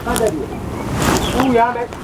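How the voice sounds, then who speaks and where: A man's voice: very high voice, animated, moderate pace. A man playing someone who scolds and mocks a family harshly. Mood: mocking. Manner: mocking, scolding. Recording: distant voice over loud noise.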